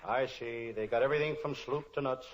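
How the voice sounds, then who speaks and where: A man's voice: mid-range voice, a little fast, very noisy, close to an everyday voice. A man playing a wisecracking, kind-hearted private detective in an old-time radio spoof, both narrating and in dialogue. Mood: deadpan, wisecracking. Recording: dull lo-fi old radio recording.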